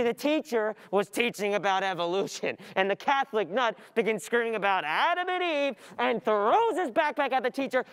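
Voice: medium pitch, clean tone, natural voice. A performer playing an agitated, distracted student who thinks aloud in an exasperated, sarcastic theatrical monologue. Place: indoor theatre stage. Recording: noisy stage recording from a distant camera.

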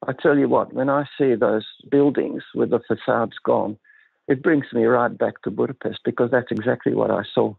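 Older man, gravelly husky voice, thoughtful halting delivery, dry close sound, phone-like audio. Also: medium pitch, fairly even intonation, no echo. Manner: measured, thoughtful, halting with restarts. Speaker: guest psychiatrist. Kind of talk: reflective interview talk. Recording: dry tinny phone-like line.

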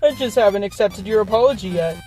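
A woman's voice: mid-range voice, lively intonation, quick pace, music in the background, lightly acted. A woman playing a laid-back, teasing elder skeleton brother in an amateur audio drama, with a casual, playful manner. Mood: dryly teasing.

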